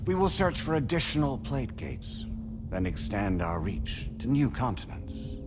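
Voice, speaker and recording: An actor playing a serenely menacing artificial intelligence that speaks formally for a collective, with deliberate pauses, in a video game cutscene. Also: male voice, medium pitch, big pitch swings, very noisy. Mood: coldly explanatory. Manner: formal, measured, theatrical speechifying with deliberate pauses.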